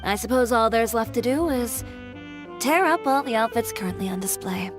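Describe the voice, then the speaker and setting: A woman's voice: a little high, moderate pace, natural voice. A woman voicing a proud, determined young fashion designer, composed and firm, with game music behind. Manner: composed, dry, firm and self-assured. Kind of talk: video game voice acting.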